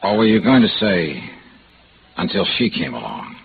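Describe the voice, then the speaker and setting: A man's voice: deep voice, sing-song, normal speed, gravelly, background noise, heavily acted. A man acting a terse, brooding hardboiled investigator in a 1950s radio noir drama. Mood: quiet, probing. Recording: dull vintage radio recording with music.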